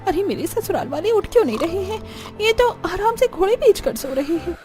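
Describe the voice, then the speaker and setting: A woman's voice: extremely high pitch, very animated, a little fast, a little mellow, pitched above the performer's own voice. A woman voicing a weary, complaining young village daughter-in-law in a cartoon, plaintive and whining. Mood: exasperated. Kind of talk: cartoon dialogue. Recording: music under the voice.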